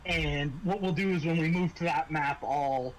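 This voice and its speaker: A man narrating a tabletop roleplaying game as game master, halting and pausing mid-phrase. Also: fairly high pitch, poor audio. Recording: noticeable background noise.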